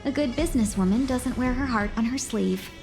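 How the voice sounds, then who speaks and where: A woman's voice: a little high, brisk, music in the background, lightly acted. A woman voicing a sly, playful, genteel young businesswoman guide in a video game, coy and polished in manner. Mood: coy, teasing.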